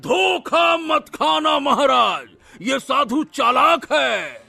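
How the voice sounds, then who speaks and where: A man's voice: very high-pitched, expressive, very slow, creaky, sharp tone, no echo, faint music behind, full character voice. A man voicing a sly evil cartoon sorcerer who serves a king, with a wheedling, insinuating, pleading delivery. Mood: alarmed warning. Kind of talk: animated Hindi moral-story acting.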